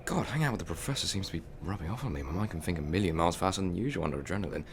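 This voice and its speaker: A man playing a quick-witted, defiant human time traveller in a sci-fi audio drama, sardonic and chatty as he thinks aloud. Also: low-pitched, lively intonation, slight background noise. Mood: working it out. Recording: music behind the voice.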